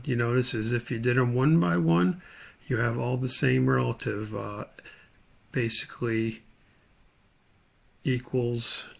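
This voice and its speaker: A man's voice: mid-range voice, gravelly. A man giving an informal screen tutorial as an instructor, thinking aloud step by step as he demonstrates.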